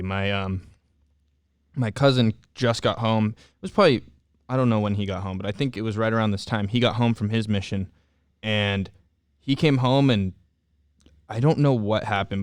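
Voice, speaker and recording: A young man in casual interview talk, candidly telling his own story with halting restarts and fillers. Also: fairly low pitch, clean tone, no echo, clean studio sound. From Southern California. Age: young adult. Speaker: returned service missionary guest.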